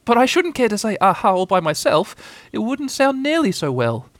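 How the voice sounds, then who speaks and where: A man's voice: high-pitched, very animated, normal speed, a bit bright, no echo, voice pushed up. A man voicing a small, timid, anxious piglet who frets nervously, as in a children's storybook. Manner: nervous, fretful.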